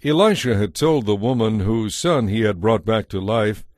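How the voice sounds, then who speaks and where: A man's voice: lowish voice, normal speed, a little mellow, dry recording, studio quality. A man reading scripted narration for an audio Bible.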